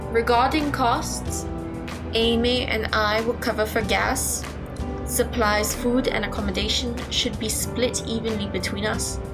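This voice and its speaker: A woman playing a sensible teenage girl who takes charge of her friends in an audio drama, brisk and decisive. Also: on the high side, fairly even intonation, over music, lightly acted.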